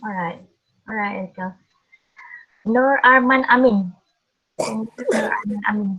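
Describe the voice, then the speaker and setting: A Malaysian woman lecturer calling the roll in an online class, brisk and quick to laugh. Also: medium pitch, pushed and bright, husky. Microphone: video call. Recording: muffled lo-fi call audio.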